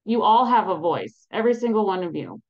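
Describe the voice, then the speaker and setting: A woman in her thirties talks through a laptop mic on a video call, and the audio sounds a little dull. She is upbeat and encouraging, with plenty of "um"s and restarts, at a mid pitch. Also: very animated, a little fast. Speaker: advocacy program staff presenting a webinar. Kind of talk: casual webinar presentation. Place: home room behind a virtual background. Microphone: laptop webcam mic on a video call.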